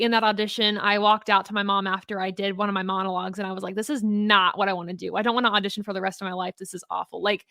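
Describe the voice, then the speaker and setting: A woman's voice: medium pitch, a bit bright, no room sound. Young woman, podcast guest, upbeat and chatty, filler-heavy, dead dry sound. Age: young adult. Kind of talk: casual interview chat.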